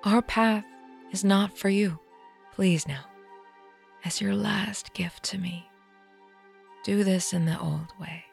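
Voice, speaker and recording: A woman voicing a calm, wise elderly aunt with fangs, speaking in a hushed, breathy, soothing way. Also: medium pitch, takes it slowly, pauses often, faint music behind, close to an everyday voice. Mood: tender. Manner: soft, steady, soothing.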